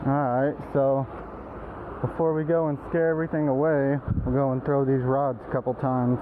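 A man's voice: medium pitch, flat delivery, normal speed, noisy background. Man chatting outdoors, thin phone-like audio. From East Texas, United States. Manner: informal, exclamatory, buddy-style slang. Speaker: angler vlogger. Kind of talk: casual outdoor vlog chat. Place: outdoors by a creek. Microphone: first-person action camera.

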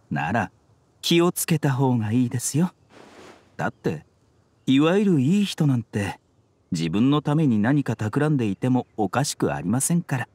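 Courteous, enigmatic physician, lively intonation. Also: male voice, mid-range voice, slightly rough, no room sound. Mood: slyly amused. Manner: polite, measured, softly teasing. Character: courteous, composed young male physician, gently enigmatic. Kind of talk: anime game voice acting.

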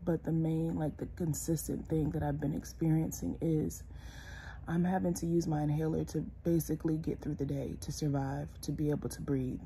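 A young woman lying in bed and talking casually to her phone camera, congested and hoarse, tired and soft-spoken, sometimes dropping to a whisper. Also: low voice, fairly even intonation, normal speed, slight background noise. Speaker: vlogger. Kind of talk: casual personal vlog. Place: bedroom, lying in bed indoors. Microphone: phone camera close, held low.

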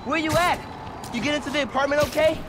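An actor playing an eager, earnest teenage superhero in a video game, youthful and slangy. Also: male voice, extremely high pitch, fast talker, background noise. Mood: excited. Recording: game audio under loud music.

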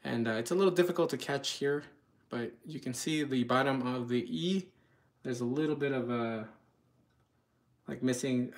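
A man giving casual live product commentary in a home kitchen, speaking informally and a bit hesitantly straight to viewers. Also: medium pitch. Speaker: product reviewer, channel host.

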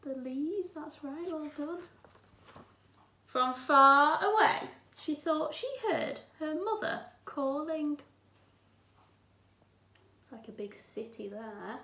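A woman's voice: high voice, expressive, slow pace, pauses often, sharp tone. A woman, reading a picture book aloud to young children as an infant teacher, expressively and with encouraging asides. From England. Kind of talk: children's storybook read-aloud. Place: small room at home, indoors. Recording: roomy, echoey indoor sound.

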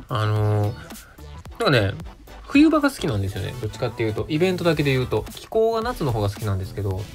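A man around thirty with a Kansai accent, hosting a casual radio show as a solo monologue. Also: mid-range voice.

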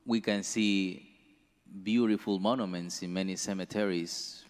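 Deep-voiced priest in a reverberant church. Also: male voice, steady tone, clean tone. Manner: slow, measured delivery with careful pauses. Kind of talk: gospel reading and homily. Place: church sanctuary. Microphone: stand mic at a lectern.

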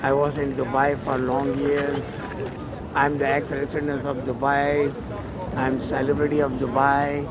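A middle-aged man from India with an Indian accent, talking in halting, repeated short phrases for a casual outdoor vlog on a phone. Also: mid-range voice, completely flat delivery. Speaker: vlogger and matchmaker. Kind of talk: casual selfie travel vlog. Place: outdoors in an open city square. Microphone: phone at arm's length. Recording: loud outdoor city square noise. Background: urban ambience and vehicles.

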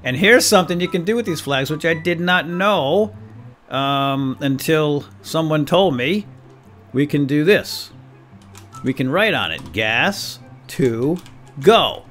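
Man, folksy and chatty gaming host, bright forward voice, casual play-by-play over music. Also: on the high side, animated, slow pace. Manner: folksy, chatty, talks directly to viewers. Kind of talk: casual gameplay commentary with light role-play.

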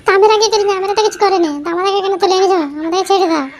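Guy doing tiny squeaky cartoon wife, creaky n fast, pitched up, music under. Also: steady tone, a little hiss or hum. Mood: pleading. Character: kidnapped politician's wife, squeaky pleading cartoon woman. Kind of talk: amateur comedy cartoon dub.

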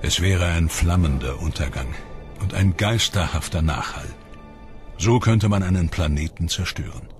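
Very deep-voiced documentary narrator man. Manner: calm, measured, explanatory. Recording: music bed under voice.

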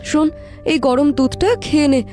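A woman playing a young, caring stepmother in a cartoon story, gentle and fretful, pleading and repeating words in melodramatic style. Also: high-pitched, steady tone, normal speed, natural voice. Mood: worried, fussing. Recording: music under the voice.

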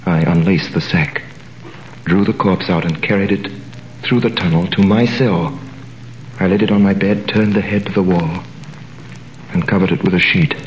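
A man's voice: low-pitched, moderate pace, long pauses, lightly acted, poor audio. An actor playing a young prisoner who narrates his story in a 1930s radio drama, with earnest, dramatic delivery. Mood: grave narration. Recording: old radio recording with faint hiss.

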